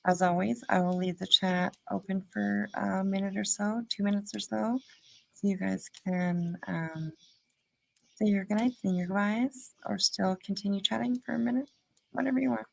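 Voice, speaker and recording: A woman talks as the host of a casual livestream, her voice full of gravelly creak. She rambles along chattily and speaks affectionately to the people watching. The sound is dry and close, with hardly any room to it. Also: medium pitch, steady tone, normal speed, darkish tone, no room sound, rough recording. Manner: affectionate toward viewers.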